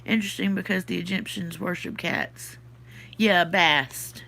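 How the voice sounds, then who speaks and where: Bright-voiced Southern woman, stuffy nose. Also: lowish voice, measured pace, gravelly. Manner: chatty, thinking aloud, answers chat comments. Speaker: podcast host reading live chat. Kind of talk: casual livestream chat.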